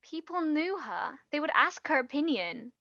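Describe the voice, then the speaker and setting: An actress playing an earnest, guilt-ridden young woman, halting and pleading, in a naturalistic stage drama. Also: high-pitched, very animated, sharp tone, no room sound, highs cut off, heavily acted. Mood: earnest, defensive.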